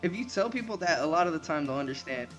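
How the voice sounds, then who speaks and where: A man's voice: on the high side, lively intonation, quick pace, fairly bright tone. Rambling young man streaming, faint music behind. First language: Spanish. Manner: rambling, restarts often, reassuring. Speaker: livestreamer. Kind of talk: casual live-stream chat with viewers.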